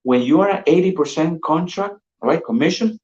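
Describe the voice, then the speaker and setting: A man with a Colombian Spanish accent, a sales manager giving an energetic, persuasive pitch and calling his listeners "guys" over and over. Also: moderate pace. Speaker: insurance sales manager presenting. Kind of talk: upbeat sales pitch on a call. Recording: dull roomy lo-fi audio.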